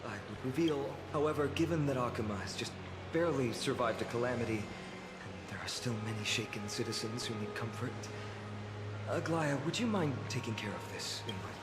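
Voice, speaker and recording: An actor voicing a courteous, dutiful warrior lord in a video game, with formal, composed phrasing. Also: male voice, medium pitch, lively intonation, fairly quick, close to an everyday voice. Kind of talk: scripted video game voice acting. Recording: roomy echo under loud game music.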